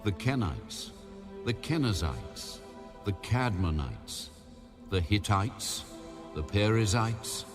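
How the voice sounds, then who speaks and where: Very deep, slow, commanding voice of God. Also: male voice, sing-song, over music. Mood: solemn decree. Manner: grave, measured, declamatory. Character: God, solemn commanding voice granting land. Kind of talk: dramatized audio Bible acting.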